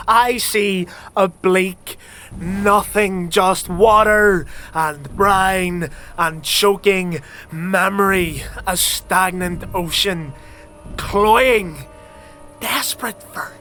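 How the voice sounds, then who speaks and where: An actor playing a fanatical alchemist twisted by dark blood rites, preaching fervently in an unhinged manner in an audio drama. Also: male voice, high voice, exaggerated voice. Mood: ecstatic, preaching. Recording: music behind.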